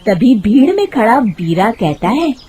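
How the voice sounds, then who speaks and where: A woman narrating a scripted animated moral story as a storyteller. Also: fairly high pitch, unhurried, a little hiss or hum.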